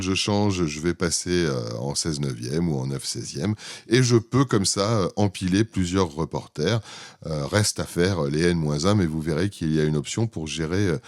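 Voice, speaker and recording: A man's voice: deep voice, darkish tone, dry recording. A man talks through a screen demo. He explains informally and improvises, often feeling for the next word. The recording is close and dry. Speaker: presenter demonstrating his own software.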